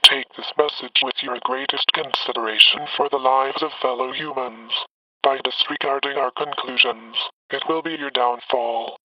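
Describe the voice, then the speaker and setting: A man's voice: medium pitch, steady tone, normal speed, dry recording, far from the mic, close to an everyday voice. A synthetic man's voice playing a grave American president giving a formal, stilted address to the nation. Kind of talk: formal scripted political address. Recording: dull lo-fi radio-like broadcast.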